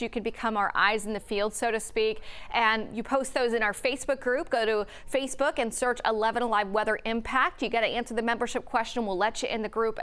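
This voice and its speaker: A female TV weather presenter with a fairly high, very clear and smooth voice. She speaks live and off the cuff, explaining as she goes in a conversational broadcast style. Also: fairly bright tone.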